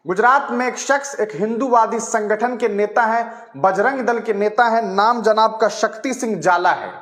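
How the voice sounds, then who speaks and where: A man's voice: very high voice, fairly bright tone, echoey room. A man giving opinionated news commentary in an emphatic, indignant manner full of rhetorical questions.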